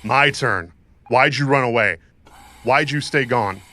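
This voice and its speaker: A tough, sardonic mercenary mentor, played by a man in a cyberpunk audio drama. His voice is forceful and sharp at a middling pitch, with bitter, wry goading in the delivery. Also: measured pace. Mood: threatening.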